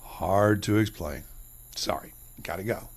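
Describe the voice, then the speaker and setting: A man's voice: on the low side, unhurried, background noise. A man playing a restless shelf stocker who stammers and hesitates. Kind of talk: amateur radio drama acting.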